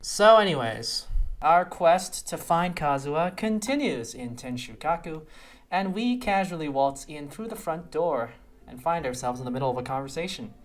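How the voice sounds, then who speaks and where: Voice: medium pitch, expressive, moderate pace. A casual, conversational, opinionated video game reviewer giving improvised commentary on a quest. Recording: voice over background music. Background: music.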